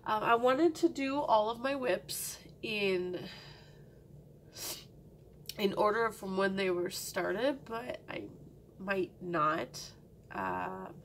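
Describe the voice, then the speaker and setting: A woman talking slowly and unhurriedly to her camera at home, her voice gravelly and stuffy from a cold. She rambles, fills gaps with ums and now and then sinks to a near-whisper. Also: medium pitch, a bit bright, a little hiss or hum. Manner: rambling, many ums and restarts; drops to a near-whisper at times. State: sniffly with a cold. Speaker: cross-stitch vlogger. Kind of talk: casual chat to camera.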